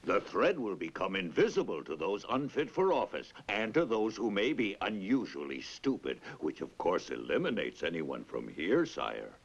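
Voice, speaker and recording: An oily storybook swindler, acted by a man, sly and flattering. The voice is low and gravelly with wide swoops of pitch, on a muffled recording. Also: moderate pace, few pauses, exaggerated voice. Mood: sly, servile. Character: sly, oily male swindler posing as magic weaver. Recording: dull lo-fi old tape.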